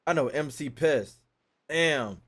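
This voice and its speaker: A man's voice: on the high side, animated, slow pace, fairly bright tone. A man giving casual, slangy, profane reaction commentary as a gaming video creator, in a joking manner.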